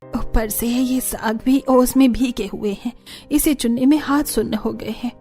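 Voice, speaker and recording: A woman's voice: a little high, unhurried, warm tone, slightly rough, soft background music. A woman voicing a homely, caring middle-aged mother in a cartoon story, motherly and fretful. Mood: chatty, concerned.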